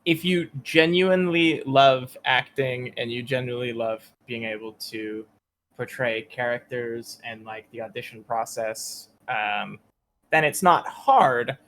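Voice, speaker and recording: A man who works as a voice actor, chatting casually and hesitantly on a panel, rambling a little with informal language. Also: medium pitch. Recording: noisy convention stream audio.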